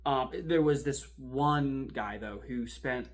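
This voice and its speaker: Actor playing a wry, rattled young-sounding archival assistant. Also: male voice, medium pitch, close to an everyday voice. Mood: wry, rambling. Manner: halting, rambling, sardonic, self-interrupting. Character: wry, rattled male archival assistant and kayak guide in a horror story. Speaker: performer in a fan audio-video drama. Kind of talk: found-footage confessional monologue, conversational storytelling.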